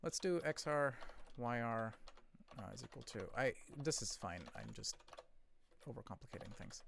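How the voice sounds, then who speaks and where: A man thinking aloud as he codes on a live stream, rambling and correcting himself, his voice a bit hoarse from talking for a long time. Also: medium pitch, lively intonation, normal speed, noisy background. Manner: rambling, self-correcting, chatty with viewers.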